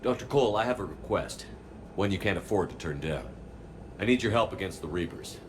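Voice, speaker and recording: An actor playing a resolute military starship commander in a video game, with a terse, commanding way of talking. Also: male voice, deep voice, expressive, brisk, noisy background. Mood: firm, controlled. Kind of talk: video game cutscene acting. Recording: music behind the dialogue.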